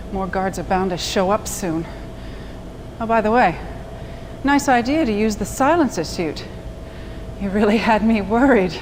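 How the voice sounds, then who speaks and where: An actress in her thirties playing a composed, frank military major in a video game cutscene, dry and measured. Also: mid-range voice, background noise, lightly acted. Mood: wry, relieved.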